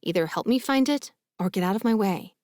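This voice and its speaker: A woman's voice: medium pitch, lively intonation, a little fast, a little mellow, dry recording, clean studio sound, natural voice. A woman voicing a headstrong young woman in love, insistent and emotional, in an audiobook. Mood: firm, urgent. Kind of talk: audiobook character dialogue.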